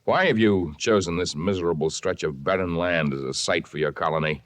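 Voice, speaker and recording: A man's voice: sits low, brisk, natural voice. An actor playing a blunt, noble man of the jungle in an old-time radio adventure drama. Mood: blunt, concerned. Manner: blunt, declarative.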